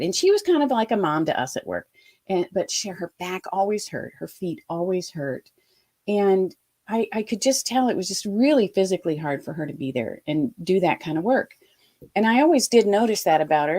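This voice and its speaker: Lively woman telling her story. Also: medium pitch. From Ohio, USA. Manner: lively, animated retelling with quoted asides. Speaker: guest. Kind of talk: storytelling interview.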